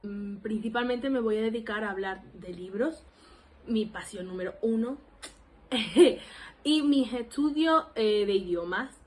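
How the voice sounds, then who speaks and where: A woman's voice: a little high, unhurried. Andalusian girl in her 20s rambling at her camera, lots of ums and little laughs, self-deprecating. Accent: Andalusian Spanish. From Spain. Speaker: language learning vlogger. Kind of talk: casual self-introduction to camera.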